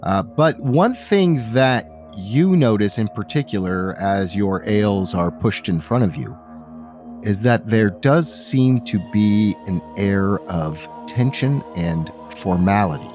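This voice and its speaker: A man's voice: fairly low pitch, measured pace, a little mellow, soft background music. A man narrating a tabletop fantasy game as game master, telling the scene descriptively to the players. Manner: descriptive second-person storytelling.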